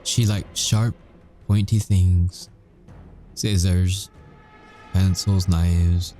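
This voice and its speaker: Guy acting as a werewolf soldier, real mellow dark low voice, wry and haunted, slow dramatic pauses, asmr roleplay. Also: steady tone, faint music behind. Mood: wry, fond. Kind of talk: audio roleplay monologue, ASMR.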